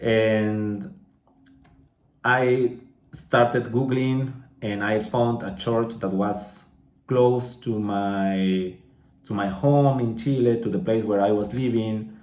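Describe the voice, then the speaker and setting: Slow-speaking Peruvian man, echoey room. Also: lowish voice, steady tone, a little mellow. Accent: Peruvian Spanish. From Peru. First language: Spanish. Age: 30s. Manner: slow and clear, restating phrases with tag questions like okay and right. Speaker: English teacher sharing a testimony. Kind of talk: personal testimony for English learners.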